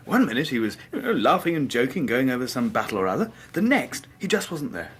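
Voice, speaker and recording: An actor playing a sociable Victorian army officer, telling anecdotes vividly and conversationally in a British radio drama. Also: male voice, medium pitch, sing-song, quick pace, slightly rough. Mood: storytelling, wondering.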